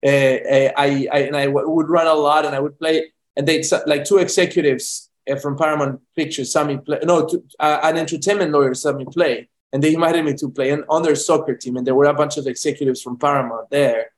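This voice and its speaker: Rambling Colombian-born man, higher voice. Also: fairly even intonation, brisk, few pauses. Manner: rambling with many restarts and fillers. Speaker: film director guest. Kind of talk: casual interview answers.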